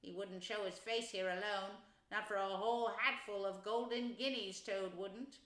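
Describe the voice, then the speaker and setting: A woman's voice: mid-range voice, fairly even intonation, moderate pace, fairly bright tone, reverberant, lightly acted. A woman voicing a brave, good-natured male water rat in a storybook, with a cheery, emphatic and reassuring manner. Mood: amused.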